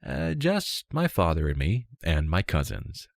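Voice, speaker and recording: A man's voice: low-pitched, huge pitch swings, unhurried, creaky, no echo, studio quality, exaggerated voice. A man playing a modest young warrior in a fantasy storybook, courteous and a little hesitant. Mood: polite, modest. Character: polite, modest young male warrior guest.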